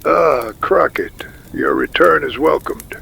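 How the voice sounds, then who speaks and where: Male actor as dignified chief, slow stately speech, gravelly husky voice, solemn, audio drama over music. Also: fairly high pitch, sharp tone, slight background noise, full character voice. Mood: solemn, welcoming. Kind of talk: audio adventure drama acting.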